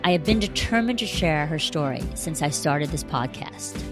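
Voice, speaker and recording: A woman's voice: low voice, lively intonation, normal speed. American woman podcast host with faint music. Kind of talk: scripted intro then interview.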